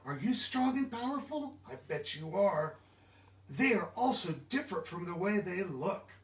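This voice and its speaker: Echoey, high-pitched man reading to kids. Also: normal speed. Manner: expressive, interactive, asks listeners questions. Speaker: children's book reader. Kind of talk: animated children's storybook read-aloud. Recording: echoey room, distant mic.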